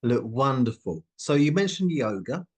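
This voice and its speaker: A man's voice: medium pitch, big pitch swings, normal speed, husky. A man hosting a short interview, asking friendly questions in an upbeat, encouraging way and often restarting his phrases. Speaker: interview host, company CEO.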